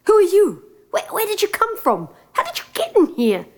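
A woman voicing a young prince who talks fast and nervously in casual slang. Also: very high voice, animated. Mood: startled.

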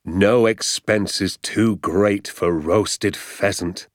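Storybook dad, played by a man, giddy with triumph and boastful, emphatic, relishing every word, wildly varied pitch. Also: sing-song, moderate pace, darkish tone, dry recording, studio quality. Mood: grandly emphatic. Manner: grandly emphatic, stretches words with relish.

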